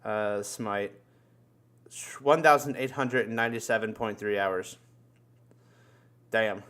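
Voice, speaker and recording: A man's voice: lowish voice, steady tone, takes it slowly, a bit bright. A man chatting casually as a podcast host, joking around and swearing freely. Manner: profane, joking. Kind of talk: casual chat.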